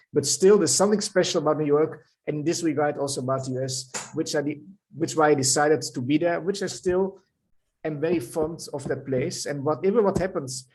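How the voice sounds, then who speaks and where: A man's voice: on the high side, a little mellow, slightly rough, rough recording. A man in his fifties with a Dutch accent, a novelist answering interview questions in a thoughtful way, often restarting and repeating words. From Netherlands. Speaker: novelist guest.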